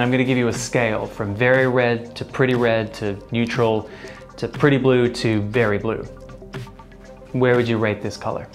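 A young man presenting a scripted educational explainer to camera in a studio, conversational with playful asides to the viewer. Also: medium pitch.

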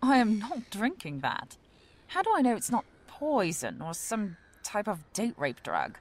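An actress playing a feisty, skeptical, indignant woman in an audio drama, sharp-tongued and sarcastic. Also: medium pitch, huge pitch swings, unhurried. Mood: defiant.

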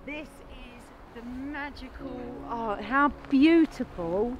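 A woman's voice: high-pitched, slow pace. A woman in her thirties chatting playfully in a casual travel vlog, filmed in a campervan and outdoors on a camera mic. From England. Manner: chatty and playful, often laughing. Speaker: vlogger. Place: in a campervan and out in woods and dunes. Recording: music playing underneath.

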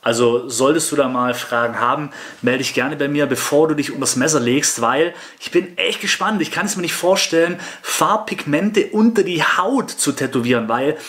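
A man in his thirties, a makeup artist, reacting to a video with skeptical commentary, halting and repeating himself. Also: on the high side, a bit bright. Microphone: headset.

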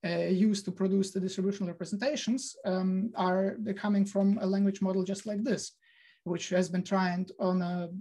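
A man's voice: high-pitched, mellow. A man giving an online lecture over a video call, hesitant, with many fillers and restarts.

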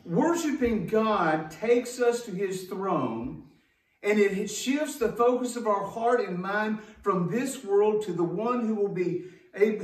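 A man preaching a sermon as a pastor, in an emphatic, folksy way with rhetorical questions. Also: high voice. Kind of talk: sermon to a congregation. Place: church sanctuary, indoors at a wooden pulpit. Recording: echoey room, distant microphone.

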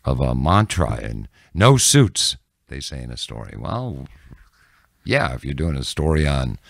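A man in his sixties answering interview questions casually and reflectively, in a halting, candid way with frequent restarts. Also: rumbling low, sing-song, creaky, no room sound, studio quality. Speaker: news anchor and reporter, podcast guest. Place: small dim indoor podcast room. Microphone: close studio microphone on a boom.

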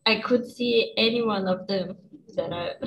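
Halting young woman student on a call. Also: mid-range voice, crowd noise. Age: young adult. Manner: halting, searches for words, laughs nervously. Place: small room at home. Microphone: earphone headset on a video call. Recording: dull lo-fi call audio.

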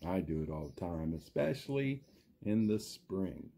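Friendly dinosaur storyteller for a children's cartoon storytime, played by a man: low, mellow, husky, theatrical, asking the kids questions. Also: lively intonation, measured pace, exaggerated voice. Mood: friendly, chatty. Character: friendly green dinosaur puppet, animated children's storytime reader. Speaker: storytime reader for a school class. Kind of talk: children's storybook read-aloud with asides.

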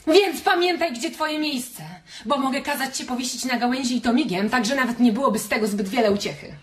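A fairly high-voiced, brash woman played by an actress in a radio drama, bossy and sharp-tongued. The recording has clear noise behind her. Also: fairly quick, close to an everyday voice. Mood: menacing.

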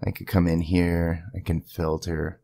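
A man giving a casual software tutorial in a conversational way, with chatty asides, as the creator of the video. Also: low voice, little pitch movement, a little slow, vocal fry, dry recording. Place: indoors at a home PC, voice-over to screen capture.

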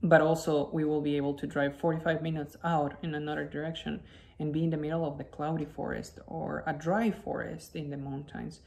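A man's voice: on the high side, level tone, brisk, creaky, darkish tone. A young man from Ecuador with an Ecuadorian accent, a native Spanish speaker, telling his personal story as a presentation. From Quito, Ecuador. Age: young adult. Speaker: guest, wildlife biologist. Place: indoor living space at home.

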